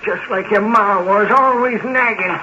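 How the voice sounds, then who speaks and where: Very high-voiced, cantankerous old farmer. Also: male voice, a little slow. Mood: crabby, suspicious. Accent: rural American. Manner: querulous, agitated, demanding. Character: sick, miserly, cantankerous old farmer father. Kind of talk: 1940s radio drama acting. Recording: muffled, hissy 1940s radio recording.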